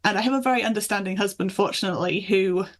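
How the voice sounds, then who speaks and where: A woman's voice: mid-range voice, quick pace. Chatty woman in a casual interview. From north of England and Michigan, USA. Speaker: author being interviewed.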